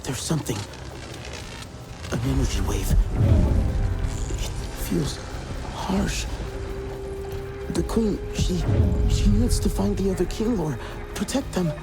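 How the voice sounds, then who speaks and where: Young alien hunter, creaky and earnest. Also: male voice, high voice, animated, slow, pauses often, loud music behind the voice, full character voice. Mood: distressed, urgent. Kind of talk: dramatic video game acting.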